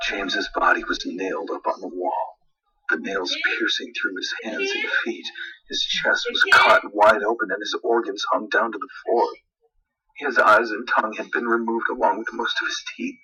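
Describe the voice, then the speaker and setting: A man's voice: fairly low pitch, lo-fi sound. A man playing a horrified, grieving father who narrates a horror story in a theatrical, anguished manner. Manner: theatrical, anguished, breaking into cries and whispers.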